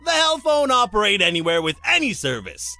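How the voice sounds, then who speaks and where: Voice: mid-range voice, wildly swooping pitch, brisk, sharp tone, soft background music, full character voice. An actor voicing a flamboyant, cocky demon guide from hell in a visual novel, with a showy, self-satisfied delivery. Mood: smug, playful. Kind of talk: theatrical visual novel character acting.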